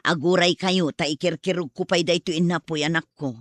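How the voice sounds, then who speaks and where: A woman's voice: on the low side, steady tone, brisk, no echo, studio quality, natural voice. A woman with an Ilocano accent playing a scolding, exasperated mother in a village comedy drama, nagging emphatically. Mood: weary.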